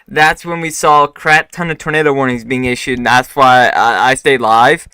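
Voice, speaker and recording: A man's voice: medium pitch, steady tone, normal speed, sharp tone, smooth, dry recording, studio quality. A man hosting a live weather forecast stream, talking casually with many fillers and restarts, his voice still recovering after he lost it. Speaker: weather livestream host.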